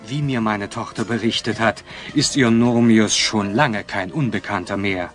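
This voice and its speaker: A man's voice: fairly low pitch, a little slow, a bit bright, soft background music. An actor playing a dignified, contrite middle-aged magnate and father in an audio drama, speaking formally and grandly. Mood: conceding, fatherly. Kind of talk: German audio drama acting.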